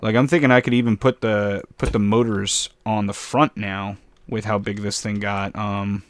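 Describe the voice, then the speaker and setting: A man casually commenting while building in a game, with a slightly raspy voice, rambling and poking fun at himself. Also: lowish voice, level tone, normal speed, dry recording. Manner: rambling, self-mocking, chuckles often. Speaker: gameplay commentator.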